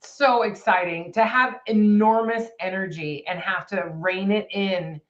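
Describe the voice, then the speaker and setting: A woman host asks her guest eager, rambling questions. Her voice comes through a dull, muffled line, and she sounds excited about every answer. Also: mid-range voice, a little slow. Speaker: interview host. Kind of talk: interview questions.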